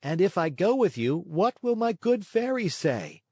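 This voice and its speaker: A man's voice: sits high, very animated, unhurried, darkish tone, slightly rough, no echo, studio quality, voice pushed up, full character voice. A man voicing a wooden marionette boy in a storybook, earnest, easily tempted and given to exclaiming. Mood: torn, hesitant. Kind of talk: storybook character voice.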